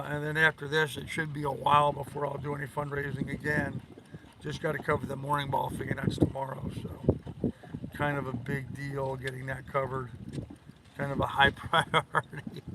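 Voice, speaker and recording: An older man with a hoarse voice, hosting a rambling, casual live stream in a halting, repetitive way. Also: medium pitch, little pitch movement. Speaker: live-stream host of a sailing channel. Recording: loud wind and boat noise.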